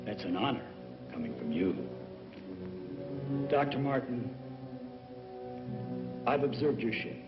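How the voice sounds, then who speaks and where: An actor playing an earnest, respectful space scientist in a dubbed old science fiction film, in measured formal speech. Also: male voice, mid-range voice, very animated. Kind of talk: dubbed 1960s sci-fi film acting. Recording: echoey, dull old film soundtrack, music.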